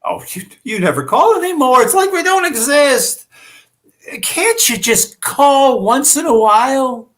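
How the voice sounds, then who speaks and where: A needy, easily wounded ageing dad played by a woman in an amateur stage play reading. The delivery is slow and plaintive, drawing out each hurt. The voice is fairly high and swings very widely in pitch. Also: very animated. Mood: reproachful.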